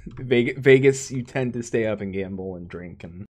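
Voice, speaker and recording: A man's voice: lowish voice, unhurried, darkish tone, slightly rough. A man co-hosting a casual gaming podcast, who talks in stop-start bursts, restarts his sentences and laughs often. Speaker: podcast co-host.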